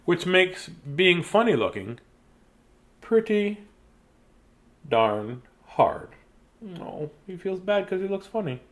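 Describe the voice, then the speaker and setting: A man in his fifties reads children's bedtime picture books in an animated, playful, childlike way, with chatty asides. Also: sits high, slow pace, pauses often. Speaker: children's storyteller. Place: small decorated room indoors.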